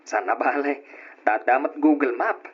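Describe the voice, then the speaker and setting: An actor with an Ilocano accent playing a breezy, friendly, encouraging city buddy in a radio drama. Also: male voice, medium pitch, a little slow, slightly rough. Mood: jovial. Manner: jovial, encouraging. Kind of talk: Ilocano old-time radio drama acting. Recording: dull lo-fi old radio drama recording.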